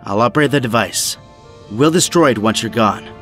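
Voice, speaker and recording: Audio drama tech whiz, man acting: duty-bound, mid-pitched but very varied, music clearly behind. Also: lively intonation, moderate pace, a bit bright, close to an everyday voice. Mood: resolute. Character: duty-bound young male tech expert, serious, resolute.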